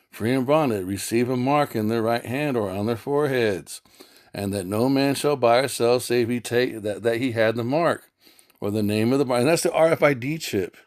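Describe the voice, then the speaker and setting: An American man teaching scripture informally to viewers, blunt and profane, exhorting listeners and pressing them with rhetorical questions. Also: medium pitch. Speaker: religious teacher giving a lesson.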